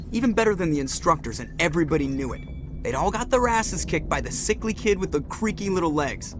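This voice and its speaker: An actor playing a cocky, defensive young starship pilot in a video game, informal and boastful. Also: male voice, medium pitch, lightly acted.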